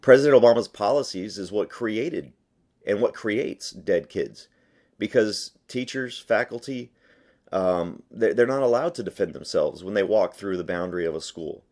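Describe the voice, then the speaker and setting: Guy on a phone interview, deep voice, arguing his side hard, really emphatic. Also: normal speed, darkish tone. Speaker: interview guest, gun rights advocate. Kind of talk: phone interview, argumentative answers. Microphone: phone call.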